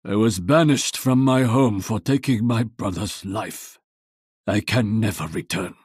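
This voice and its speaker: An actor playing a stern, proud exiled warrior archer with a Japanese accent and a husky voice, speaking solemnly and tersely in a video game. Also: male voice, on the low side, unhurried, a little mellow, dry recording, clean studio sound. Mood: stern, brooding.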